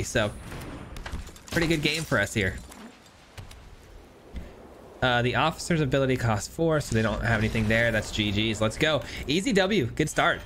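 A man's voice: mid-range voice, a bit bright. A young man giving upbeat, chatty commentary over a digital card game, thinking aloud with frequent restarts. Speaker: gameplay commentator. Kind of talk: casual gameplay commentary.

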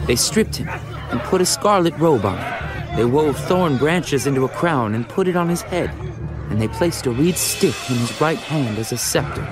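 A man narrating scripture from a script in a measured, dramatic storytelling manner. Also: medium pitch, expressive, crowd noise. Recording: music behind the voice.

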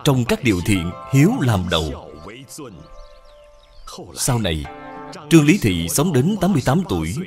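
A man narrating a moral tale as a solemn storyteller, in a measured, scripted manner. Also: medium pitch, very animated, darkish tone, natural voice. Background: background music.

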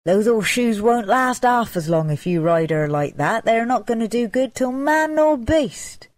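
A woman's voice: fairly high pitch, lively intonation, normal speed, dry recording, close to an everyday voice. A woman storyteller voicing a bemused, plain-spoken male village blacksmith in a folk tale, emphatic and disapproving. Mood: bemused warning. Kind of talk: storybook character dialogue.

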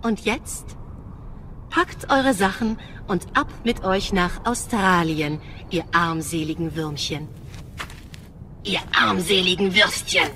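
A woman's voice: fairly low pitch, takes it slowly, background noise. A woman playing a polite, menacing alien android envoy in a radio drama, formal and condescending. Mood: scornful.

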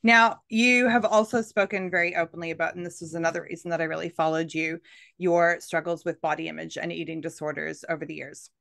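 A woman's voice: on the low side, level tone, brisk, vocal fry, a bit bright, no room sound, studio quality. A woman in her thirties hosting a podcast interview on a video call from a room with bookshelves, speaking earnestly and with encouragement. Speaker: podcast host, coach for midlife women.

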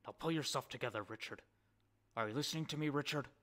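A male narrator voicing a calm, firm man who talks low and quietly, keeping control. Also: fast talker, close to an everyday voice.

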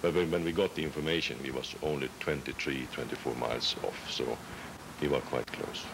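Very deep gravelly ship captain, man. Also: steady tone. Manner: halting, repeats words when recounting. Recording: noisy news clip.

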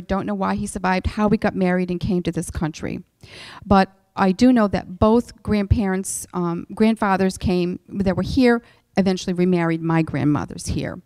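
Woman, Massachusetts accent, panel speaker, halting with ums, mellow tone, dry close sound. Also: medium pitch, no echo. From Worcester, Massachusetts. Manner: halting, many ums and restarts. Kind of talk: personal account to a lecture audience. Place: lecture hall stage panel table.

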